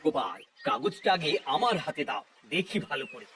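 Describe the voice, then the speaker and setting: An animated, regal cartoon king. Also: fairly high pitch, fairly quick, background noise. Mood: commanding, curious. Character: kindly, authoritative Bengali maharaja who protects animals. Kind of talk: cartoon voice acting.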